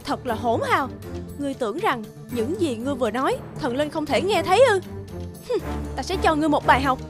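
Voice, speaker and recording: A woman voicing a proud, commanding goddess in an animated fairy tale, stern but fair and dignified. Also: high voice, fast talker, over music. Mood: angry. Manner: dignified, authoritative.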